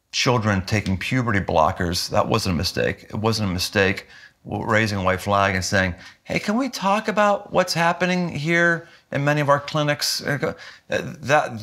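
An emphatic man in his fifties speaks as a pastor in a sit-down interview, his voice bright and forward. He stresses points with sudden lifts in pitch, recorded indoors in a quiet living room. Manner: earnest, emphatic, with lively jumps in pitch when stressing points. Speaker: senior pastor.

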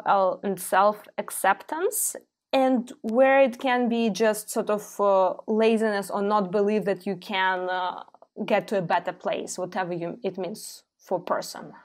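A woman's voice: medium pitch, fairly even intonation. Female podcast host, Ukrainian accent, reflective delivery that halts and restarts often. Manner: thoughtful, halting with many fillers. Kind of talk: casual interview chat.

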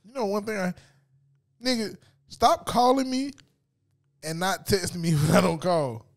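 A man co-hosting a casual podcast, joking loudly and cursing, and laughing often as he banters. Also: high-pitched, unhurried. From United States. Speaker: podcast co-host.

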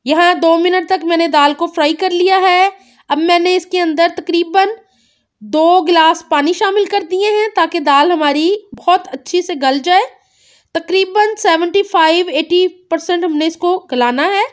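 Woman, very high voice, cooking host, calm recipe narration, careful measures. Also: measured pace. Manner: calm instructive, naming measures. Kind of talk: step-by-step recipe voice-over.

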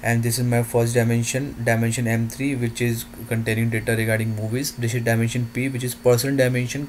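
A man narrating a software demo in halting, non-native English phrasing. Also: mid-range voice, slight background noise.

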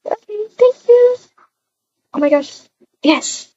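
An extremely high, lively voice for an eager, excitable girl traveller acted by a woman in a video game roleplay. She gushes in exclamations and stretches her words out slowly. The sound is a little dull and lo-fi. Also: full character voice. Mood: excited. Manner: gushing, exclamatory, with drawn-out words.

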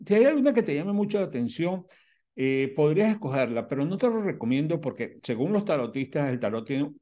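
A man's voice: mid-range voice, dark tone. A man in his sixties speaking to camera as a channel host, explaining didactically with emphatic repetition and questions he answers himself. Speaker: host of a spirituality and prosperity channel. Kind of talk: direct-to-camera advice vlog. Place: small indoor room at home.

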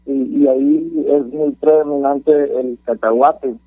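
Guest songwriter, Sonoran man, unhurried and fairly high, dry tinny phone line. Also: fairly even intonation, dry recording. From Sonora, Mexico. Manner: friendly, halting with repeated words. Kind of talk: casual interview by phone.

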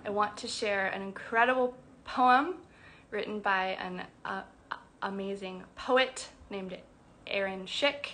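Brief young woman, stutters, bright tone, unhurried, earnest livestream talk on phone at arm's length. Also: mid-range voice, slight background noise. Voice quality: stutters with sound repetitions. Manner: earnest, affectionate, slow-paced. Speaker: program director. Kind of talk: casual livestream announcement. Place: indoors at home, small room. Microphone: phone camera at arm's length.

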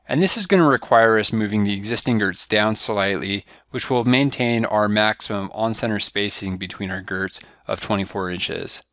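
A man giving a step-by-step software tutorial over a screen recording, walking through each click in a steady, instructive way. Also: fairly low pitch, no room sound, studio quality. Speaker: software trainer.

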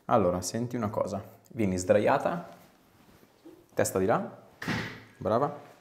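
A man's voice: on the low side, lively intonation, measured pace, creaky, darkish tone. A man, working as a chiropractor and giving a patient short instructions and questions in a small treatment room. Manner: short directive phrases and questions. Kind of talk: calm hands-on clinical instructions to a patient.

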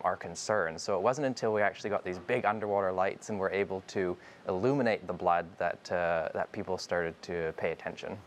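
A young man from Canada, a filmmaker interviewed from a newsroom on a lapel mic, earnest and full of fillers. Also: sits low. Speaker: guest filmmaker. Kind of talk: remote interview. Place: newsroom studio.

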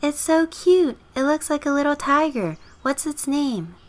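A woman voicing a bright, chirpy young female neighbour, sweet and curious, in a scripted game story. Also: high-pitched, animated, unhurried, a little hiss or hum, pitched above the performer's own voice. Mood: sweet, delighted.

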